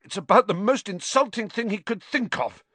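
A man reading an audiobook, voicing a hoarse, weak schoolboy. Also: high voice, normal speed, a bit bright, dry recording, voice pushed up. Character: boy, sick schoolboy coughing up slugs.

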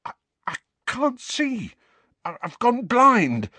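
A man's voice: very high voice, sing-song, takes it slowly, pushed and bright, no echo, pitched above the performer's own voice, full character voice. A man voicing a big bullying teenage boy in a storybook, snarling and taunting, easily panicked. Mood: terrified.

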